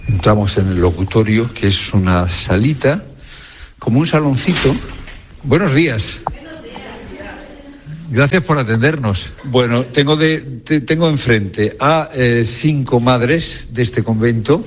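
A man conducting an on-location interview, halting as he searches for words. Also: medium pitch, slight background noise. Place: small convent parlour, indoors.

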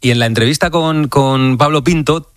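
A man's voice: on the high side, smooth, no echo, studio quality. A man hosting a live sports radio show, leading the panel with questions. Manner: brisk, leads the panel with questions.

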